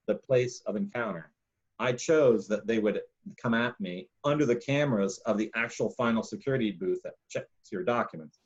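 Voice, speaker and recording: Loud rambling man on a dull recording. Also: mid-range voice. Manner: rambling, emphatic storytelling with laughs and restarts. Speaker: podcast host, monk. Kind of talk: casual conversation and personal story.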